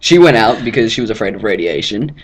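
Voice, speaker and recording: A man's voice: lowish voice, big pitch swings, quick pace, a little hiss or hum. Young aussie guy telling a funny story to a friend, sarcastic, keeps rambling. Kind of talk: casual comic storytelling.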